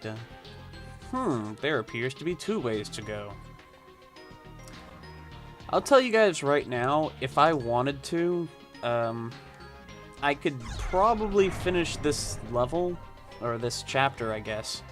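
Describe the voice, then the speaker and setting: A man's voice: medium pitch, sing-song, slow, long pauses, faint music behind. A young man playing a video game, reading its text aloud and chatting loosely with many fillers and restarts. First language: not Japanese. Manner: rambling, hesitant, many fillers. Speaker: let's play gamer.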